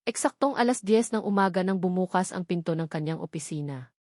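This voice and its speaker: A woman's voice: lowish voice, animated, a little fast, a little mellow, no room sound, clean studio sound. A woman reading a dramatic scripted narration of a romance story.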